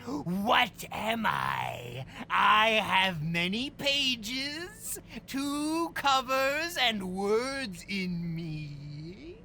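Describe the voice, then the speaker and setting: A man's voice: sits high, expressive, very slow, gravelly, hardly any pauses, bright, forceful tone, slight background noise, exaggerated voice. A man playing a petty, insecure villain in an improvised comedy audio drama, theatrical and overeager but easily flustered as he pushes his riddle game. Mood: showy riddling.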